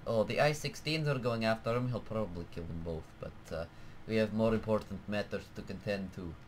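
A deep-voiced man chats through his flight sim session in a put-on Russian accent, drifting from dry asides to sudden excited yelps. A faint hum of room noise sits under his voice from a home desk mic. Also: fairly quick. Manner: jokey, excitable, bursts of alarmed shouting. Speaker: gameplay commentator. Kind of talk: casual let's-play commentary.

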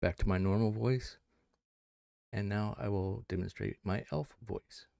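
Middle-aged man, demo narration, low pitch, creaky, close dry mic. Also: measured pace, warm tone, dry recording. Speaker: video presenter. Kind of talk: scripted demonstration narration. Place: indoors at a home streaming setup. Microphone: close headset or desk microphone.

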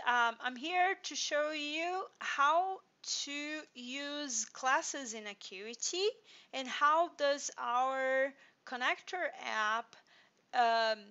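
A woman from Brazil with a Brazilian Portuguese accent, a native Portuguese speaker, demonstrating software in an unhurried screen-share walkthrough full of fillers and restarts. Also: on the high side, highs cut off. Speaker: software company presenter.

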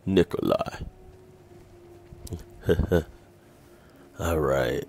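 A man's voice: a bass voice, very slow, darkish tone, slight background noise. A tired man giving casual live commentary on a game, slangy and sweary, muttering to himself and his chat. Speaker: video game streamer.

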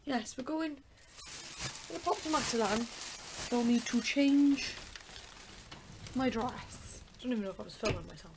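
Croaky young woman vlogging to camera. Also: a little high, measured pace, long pauses. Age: young adult. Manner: rambling, chatty, self-correcting. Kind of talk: casual vlog chat to camera. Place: home bedroom, then a car. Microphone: handheld camera at arm's length. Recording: background noise from the car.

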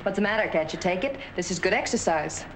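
An actress playing a lonely young wife who feels trapped, in emotional 1940s film style. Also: female voice, medium pitch, animated, fast talker, noisy background, natural voice. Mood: excited. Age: young adult. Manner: emotional, pleading. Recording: 1940s film soundtrack with music.

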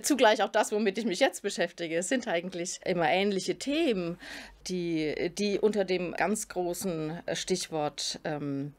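Thoughtful lower-voiced woman professor. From southern Germany. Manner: thoughtful, searching for words, frequent hesitations and restarts. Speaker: philosophy professor. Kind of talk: interview answers to camera. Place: indoor studio before a curtain.

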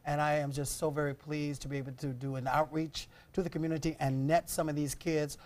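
Middle-aged male theatre director, fairly high voice, studio interview guest. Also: little pitch movement, a little fast, darkish tone. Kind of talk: talk show interview. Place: television studio. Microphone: lapel mic.

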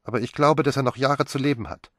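A man voicing a flippant, restless young woman who talks with quick, mocking sharpness in an audiobook. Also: mid-range voice, dry recording, pitched above the performer's own voice, close to an everyday voice. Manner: teasing, quick and sharp-tongued. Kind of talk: audiobook character voice.

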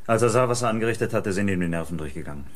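A man plays a police investigator in a radio crime drama. The voice is low, with a brisk, explanatory, matter-of-fact delivery. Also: animated, lightly acted. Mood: explaining.

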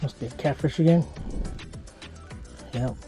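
A man from Minnesota giving relaxed, chuckling commentary while ice fishing inside a small shelter, picked up by an action camera. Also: medium pitch, a little slow, soft background music. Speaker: fishing vlogger.

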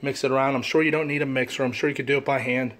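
A man in his forties walks through a recipe in his own kitchen in a relaxed, meandering way, often poking fun at himself. His pitch stays fairly flat, sitting in the middle of a man's range. A faint background hum runs under the home camera recording. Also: rapid-fire. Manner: easygoing, rambling, self-deprecating. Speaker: home cooking channel host. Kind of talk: casual cooking demonstration. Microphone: handheld camera filming his hands.

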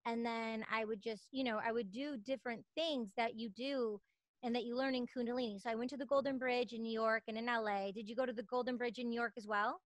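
A woman's voice: fairly high pitch, steady tone, fairly quick, dry recording. A woman in her thirties chatting casually as a podcast host, in an informal, discursive way, into a close studio mic. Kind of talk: casual interview chat. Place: small home studio. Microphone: studio mic on boom arm, close.

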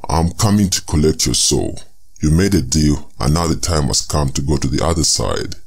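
Very deep, menacing demon. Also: male voice, animated, gravelly, full character voice. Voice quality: pitch-shifted deep growl. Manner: slow, ominous delivery. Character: menacing male demon come to collect souls. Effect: lowered pitch effect. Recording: reverberant processed hall sound.

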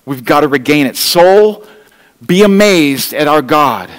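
A man's voice: on the high side, animated, a little slow. A middle-aged man preaching a Sunday sermon from a church stage, earnest and pleading, talking straight to his congregation. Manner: walks the stage holding an open Bible. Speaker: pastor. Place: church stage, large room indoors. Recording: long reverb of a church hall.

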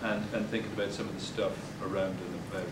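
An older man giving a poetry reading in a bookstore, hesitant and halting between poems, recorded from a distance. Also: flat delivery. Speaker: poet. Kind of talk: poetry reading with spoken asides. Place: bookstore, indoors, at a podium. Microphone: distant camera mic. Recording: echoey room, distant mic, background rumble.

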